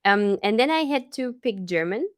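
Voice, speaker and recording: A woman's voice: on the high side, animated, normal speed, no room sound, clean studio sound. A young woman from the Czech Republic, a language coach, telling her story in a friendly, casual interview tone.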